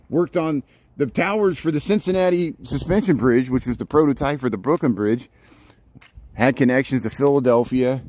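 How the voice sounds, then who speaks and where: A man filming a walking tour outdoors on the grounds of a historic house, narrating loudly into a handheld phone mic and often repeating words. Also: mid-range voice, no room sound. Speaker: vlogger touring a historic site.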